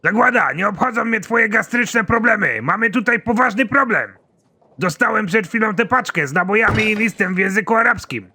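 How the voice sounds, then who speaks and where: An actor playing a foul-mouthed, middle-aged army general in an animated comedy, blunt and sardonic with a pompous streak. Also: male voice, sits high, brisk, slight background noise, full character voice. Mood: exasperated, insistent. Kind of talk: animated comedy series dialogue.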